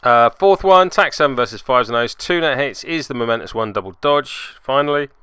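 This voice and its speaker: A man's voice: medium pitch, lively intonation, fairly quick, pushed and bright, clear, resonant tone, dry recording, studio quality. A man giving casual commentary as host of a tabletop game report, with a wry, self-deprecating manner.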